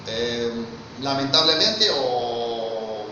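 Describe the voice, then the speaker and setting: A man's voice: mid-range voice, expressive, drawn-out words, distant mic, highs cut off. A man from Mexico with a Mexican Spanish accent, preaching a sermon in church in an earnest way, often calling listeners "brother" and asking rhetorical questions. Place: church hall. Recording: very echoey hall, mic from afar, faint noise.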